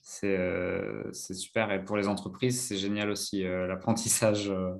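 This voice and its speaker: A man with a slightly low voice hosts a webinar in a relaxed way. He passes on messages from viewers and adds short remarks of agreement. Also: normal speed, gravelly. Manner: relaxed, reads out viewer comments. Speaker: webinar host. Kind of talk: moderating a webinar.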